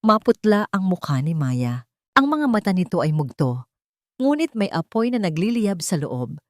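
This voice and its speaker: A woman with a slightly low voice narrates a romantic audio drama in a scripted, dramatic style. The recording is close and dry. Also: very animated, moderate pace, no room sound.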